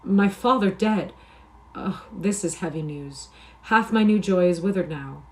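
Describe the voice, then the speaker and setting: An eager young soldier back from the wars, read by a woman, pouring out old-fashioned, impassioned speech in a voice of middling pitch. It comes from a storybook reading. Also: expressive, moderate pace, natural voice. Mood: stricken. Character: eager young male soldier returning home.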